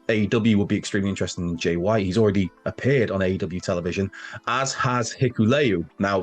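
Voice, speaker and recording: A man hosting a wrestling news show, sounding nasal and bunged up from a cold, rambling and correcting himself as he chats. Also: lowish voice. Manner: rambling, self-correcting, chatty with asides. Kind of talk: casual news chat to camera.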